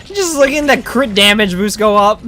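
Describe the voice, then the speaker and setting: A woman's voice: medium pitch, animated, moderate pace, bright, forceful tone, soft background music. A woman streamer chatting casually and in slang while she plays a game. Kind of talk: casual livestream gameplay chat.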